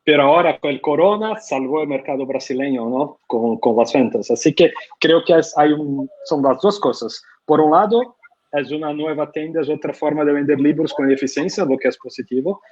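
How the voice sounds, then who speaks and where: A man's voice: a little high, normal speed, darkish tone, lo-fi sound. A man from Brazil with a Brazilian Portuguese accent, a publishing consultant answering questions in Spanish at an online conference, with frequent fillers and restarts. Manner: hesitant non-native Spanish with many fillers and restarts. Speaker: publishing consultant, guest speaker.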